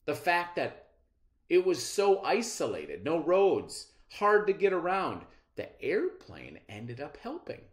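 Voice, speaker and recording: Middle aged guy teaching reading to kids, takes his time, pitch swings all over the place. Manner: deliberate, expressive, explanatory. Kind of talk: read-aloud reading lesson for children.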